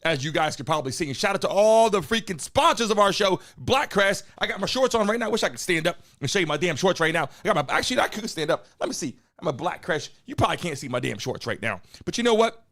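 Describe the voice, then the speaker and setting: Brief: high-pitched guy hosting a live stream, brash hype-man who keeps calling out to his chat. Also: animated, fast talker, hardly any pauses, a bit bright. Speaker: live-stream show host. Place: home studio in Miami.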